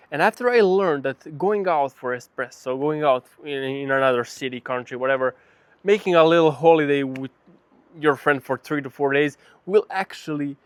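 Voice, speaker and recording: A man's voice: fairly high pitch, no echo. A man from Serbia with a Serbian accent gives a casual motivational talk to camera, speaking bluntly and emphatically with frequent swearing. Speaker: vlogger.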